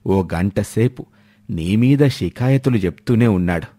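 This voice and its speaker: A man's voice: lowish voice, expressive, a little slow, dark tone, voice pushed down. A man voicing a concerned elderly father in a family storybook tale. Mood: troubled. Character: elderly father, concerned, slow-spoken village in-law.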